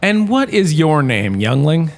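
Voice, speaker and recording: A man's voice: fairly high pitch, big pitch swings, measured pace, gravelly, full character voice. A man playing an enthusiastic, quirky lecturer, a washed-up star, in a scripted comedic audio drama, chatty and full of showy asides. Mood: genial, showy.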